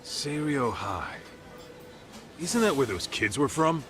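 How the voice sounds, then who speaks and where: An actor playing a brash, streetwise detective sidekick in a video game, blunt, slangy and wisecracking. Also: male voice, mid-range voice, big pitch swings, slow pace, a bit bright, soft background music. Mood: puzzled. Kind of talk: video game cutscene acting.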